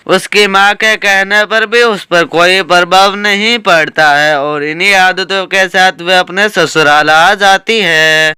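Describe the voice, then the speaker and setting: A man reads a scripted cartoon story as narrator. His voice is high and extremely clear, and the recording is very dry. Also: pushed and bright, no echo.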